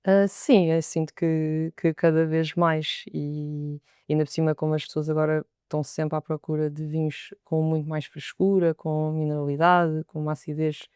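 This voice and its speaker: A young woman with a European Portuguese accent talks as a sommelier in a podcast interview. Her voice is smooth and clear, her pitch stays fairly level, and she often doubles a word before moving on. The sound is dry and close. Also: little pitch movement, normal speed, few pauses, a little mellow, no echo. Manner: thoughtful, repeats words as she gathers her thoughts.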